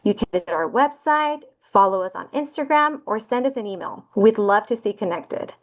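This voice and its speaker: Brief: woman webinar host, mid pitch, calm scripted welcome on a video call. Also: moderate pace. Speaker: webinar host introducing speakers.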